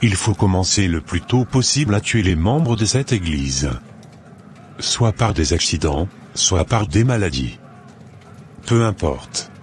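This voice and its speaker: A man's voice: low voice, expressive, a little slow, slight background noise. A man voicing a commanding, menacing evil occult master in a cartoon. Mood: cold, impatient. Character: commanding male evil occult master scheming to destroy church members. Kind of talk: dramatic animated dialogue.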